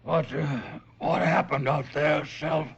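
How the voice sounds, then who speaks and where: A weary stage ventriloquist with a hoarse, gravelly, fairly high voice. His showman's patter falters and halts, in radio drama style on a muffled recording. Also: steady tone, slow pace, soft background music, full character voice. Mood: shaken, subdued. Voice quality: hoarse, creaky.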